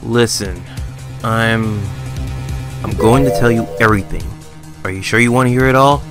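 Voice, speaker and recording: A man's voice: medium pitch, moderate pace, music in the background, lightly acted. A man voicing a goofy, self-important young artist in a video game dub, theatrical and boastful but casual. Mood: mock serious. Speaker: fan dub voice actor.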